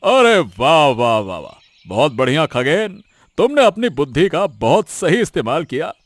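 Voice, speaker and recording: A man acting a smug, highish-voiced village villain. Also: sing-song, moderate pace, no room sound. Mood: gleeful, conspiring. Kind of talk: animated moral story dialogue in Hindi. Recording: music behind the voice.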